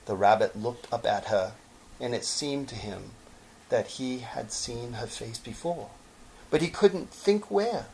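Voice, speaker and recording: A man narrates a children's storybook in a mid-pitched voice. He reads very slowly and expressively, breaking lines into short, lingering phrases, over faint background noise. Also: expressive, gravelly, darkish tone, low-quality recording. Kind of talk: dramatic children's story reading.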